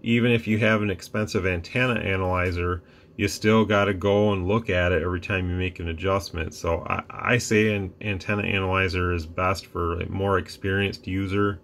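A deep-voiced man talks through a radio demo at his workbench. He rambles easily, restarting sentences and dropping fillers as he explains. A faint hum sits behind him. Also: fairly even intonation, normal speed, a bit bright. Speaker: radio reviewer. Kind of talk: casual product demo. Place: workbench room with radio gear.

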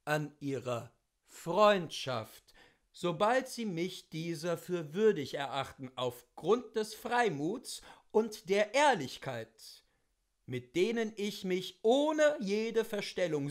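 A man reads a literary memoir aloud in a high-pitched voice. His intonation swings widely from phrase to phrase. The delivery is theatrical and expressive, with emphatic, rhetorical phrasing. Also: takes it slowly. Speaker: literary reader. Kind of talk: dramatic literary reading of a memoir.

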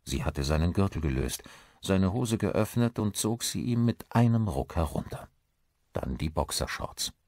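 A man's voice: sits low, lively intonation, a little slow, a little mellow, dry recording. A man reading a suspense novel aloud as a scripted audiobook narration.

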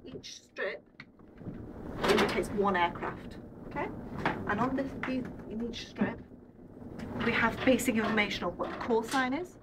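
Young female guide, explanatory and informal, checking understanding, picked up off-mic amid loud room noise. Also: a little high, a little slow, long pauses, far from the mic. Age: young adult. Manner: checks understanding with frequent tag questions. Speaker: air traffic controller giving a tour. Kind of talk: informal explanatory talk to a visiting group. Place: indoor radar control room. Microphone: handheld camera a short distance away.

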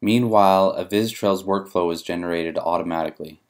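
A man reading scripted narration for a software tutorial in a measured, methodical way. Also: deep voice, fairly even intonation. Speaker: software demonstrator. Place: voice-over for a screen recording.

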